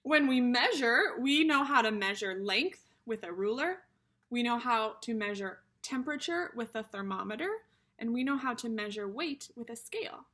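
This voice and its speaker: A woman's voice: fairly high pitch, lively intonation, normal speed, pushed and bright. A woman teacher reading a picture book aloud to young children, explaining things simply and speaking to them directly as friends.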